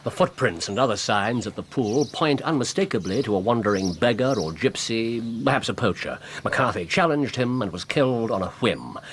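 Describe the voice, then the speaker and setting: An actor playing a brilliant, coolly logical Victorian detective in a radio drama, with an English accent and a precise, clipped, dryly ironic delivery. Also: male voice, medium pitch, lively intonation, normal speed, few pauses, a bit bright, a little hiss or hum, close to an everyday voice. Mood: coolly assured.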